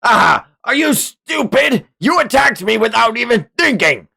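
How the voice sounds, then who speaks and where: A man's voice: extremely high pitch, big pitch swings, normal speed, vocal fry, sharp tone, husky, pitched above the performer's own voice, full character voice. A man voicing a cocky, bloodthirsty fantasy fighter in a taunting, gleeful, theatrical comic read-aloud.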